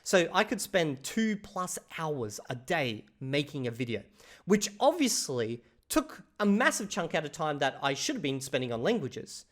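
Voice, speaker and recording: Animated swearing man vlogging. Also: a little high, moderate pace. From Australia. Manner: animated, swears freely, says "bro" and "okay?" often. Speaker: language-learning YouTuber. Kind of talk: casual talking-to-camera vlog.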